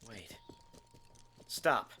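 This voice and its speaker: A man's voice: very slow, bright, forceful tone, a little hiss or hum. A man playing a terse, watchful young knight. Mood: tense, alert. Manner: terse, clipped.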